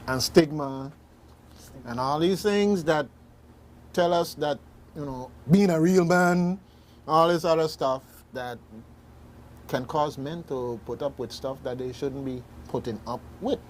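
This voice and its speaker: A man hosting a serious community radio discussion, speaking in a halting, emphatic way and repeating phrases deliberately. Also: a little high, a little hiss or hum. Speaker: radio talk show host.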